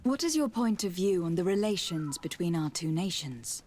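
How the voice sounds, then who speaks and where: An actress playing a composed young noblewoman diplomat in a video game, speaking in a measured, formal and polite way. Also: on the low side, sing-song, darkish tone. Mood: composed, inquiring. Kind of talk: video game dialogue acting.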